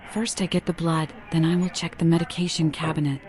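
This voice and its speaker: A woman's voice: low-pitched, flat delivery, fairly quick, a little mellow. A woman talking through her plan as she plays a video game. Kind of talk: gameplay narration.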